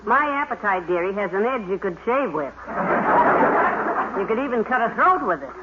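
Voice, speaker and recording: An actress playing a wry, patient housewife in a 1950s radio comedy, trading dry, teasing quips. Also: female voice, medium pitch, sing-song, moderate pace, lightly acted. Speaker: sitcom wife. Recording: hissy lo-fi 1950s radio broadcast.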